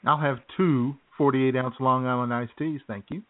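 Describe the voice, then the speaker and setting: A man's voice: medium pitch, fairly even intonation, moderate pace, phone-quality sound. A man hosting a comedy radio show in casual, irreverent banter with mock indignation, on thin-sounding audio.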